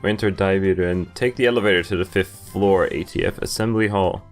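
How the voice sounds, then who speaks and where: A man's voice: low voice, fairly quick. A young man on a headset casually reading a visual novel aloud and commenting as he goes. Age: young adult. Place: indoor room at a computer. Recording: game music behind the voice.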